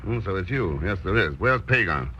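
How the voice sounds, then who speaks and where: An actor playing a cool, laconic, determined investigator with a clipped, dry manner in a 1940s radio drama. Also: male voice, sits low, animated, normal speed. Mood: terse, suspicious. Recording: dull, noisy old radio recording.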